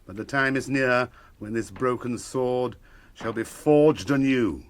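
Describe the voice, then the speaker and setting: A man playing a weathered ranger in a radio drama, measured, wry and quietly commanding. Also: mid-range voice, close to an everyday voice. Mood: calm, resolute. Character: weathered, wry ranger of hidden noble birth. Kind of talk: classic radio drama acting.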